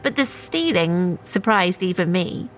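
A woman's voice: fairly low pitch, very animated, unhurried, soft background music. An actress playing an anxious, self-justifying woman shop manager in a naturalistic audio drama. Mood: resentful, justifying.

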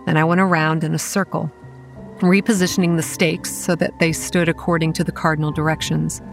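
Horror podcast lead: a hot-headed young woman running a campground, lower register, earnest dramatic narration with bite, over faint music. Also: level tone, fairly quick, gravelly. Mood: measured, explanatory. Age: young adult. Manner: earnest, dramatic storytelling with sardonic edge. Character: young woman campground manager, guarded, hot-tempered, stubborn. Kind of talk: horror audio drama, first-person narration and dialogue.

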